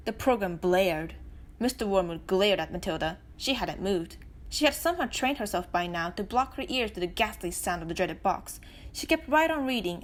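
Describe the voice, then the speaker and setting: A woman reading a children's story aloud as an expressive narrator. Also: medium pitch. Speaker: volunteer audiobook reader.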